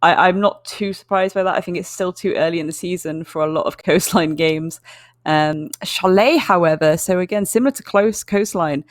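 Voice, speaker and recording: A woman's voice: fairly low pitch, no echo. Woman casting esports, dry sound. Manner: measured, chatty, filler-heavy. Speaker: esports caster. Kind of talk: analytical esports commentary.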